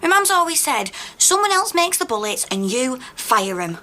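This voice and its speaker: High-voiced, cheeky Northern English woman. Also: very animated, normal speed, bright, forceful tone, exaggerated voice. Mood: worried, self-blaming. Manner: cheeky, colloquial, blunt. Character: cheeky, mouthy miner's wife occupying a pit, self-doubting. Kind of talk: naturalistic radio drama acting. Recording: clear background noise.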